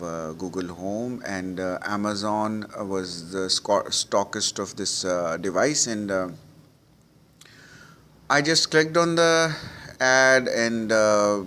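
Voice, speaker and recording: A man's voice: fairly low pitch, slow, pushed and bright. A middle-aged man, an Urdu speaker, giving sample IELTS speaking answers with many fillers and restarts. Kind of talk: model exam answers with Hindi-Urdu prompts.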